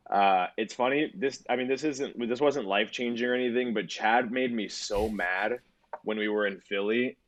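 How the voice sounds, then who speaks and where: A man's voice: medium pitch, moderate pace. A man in his twenties co-hosting a podcast, telling a long story in casual chat with lots of swearing and filler words. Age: 20s. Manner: rambling, swears a lot, many fillers. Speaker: podcast co-host.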